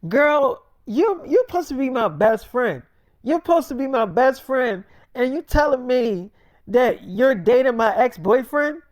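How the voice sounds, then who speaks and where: Guy doing a hurt angry girlfriend, higher voice, accusing n sweary, repeats herself, dry sound. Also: steady tone, fairly quick, darkish tone, smooth, dry recording. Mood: betrayed, angry. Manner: accusing, profane, repeating phrases for emphasis. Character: angry betrayed young woman confronting people.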